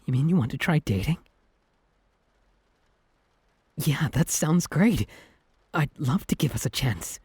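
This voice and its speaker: A man playing an earnest, lovestruck Cupid in an intimate ASMR boyfriend roleplay, speaking softly and haltingly with a slightly husky voice. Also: medium pitch, no echo. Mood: surprised, hopeful. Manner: hesitant, earnest, tender, halting. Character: lovestruck male Cupid, anxious, selfless best friend turned boyfriend.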